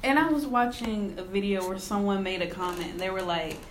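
An animated woman who keeps the conversation moving with questions, speaking at a middle pitch. Her voice rings off the walls of a roomy space, with faint noise behind. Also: a little fast. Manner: animated, steers the talk with questions. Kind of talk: casual discussion. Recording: echoey room with faint noise.

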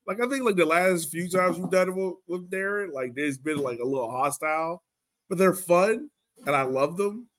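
A man's voice: high voice, expressive, moderate pace, a bit bright. A man hosting a sports podcast, chatting casually and informally. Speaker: podcast host.

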